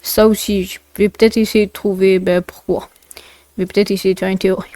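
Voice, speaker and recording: A woman's voice: medium pitch, little pitch movement, fairly quick, warm tone, no room sound. A woman with a slightly congested nose narrating a casual explainer video in an informal, rambling way full of asides. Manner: informal, rambling, often digressing.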